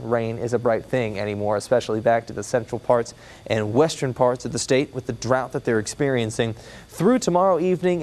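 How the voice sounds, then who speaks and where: A man's voice: medium pitch, a little mellow. American TV weatherman. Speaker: TV weather forecaster. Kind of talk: ad-libbed broadcast weather report.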